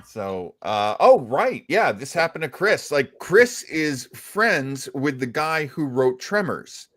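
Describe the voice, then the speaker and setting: A man's voice: fairly high pitch, big pitch swings, takes it slowly, fairly bright tone, no room sound, studio quality. A middle-aged man hosting a live show in casual, chatty conversation. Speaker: host of a live panel show. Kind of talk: casual chat.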